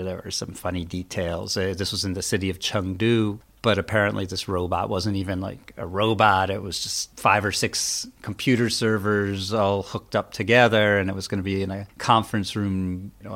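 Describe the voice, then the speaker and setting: A man's voice: on the low side, fairly bright tone. A man speaking as a journalist guest, explaining things in a casual, colloquial and slightly wry way.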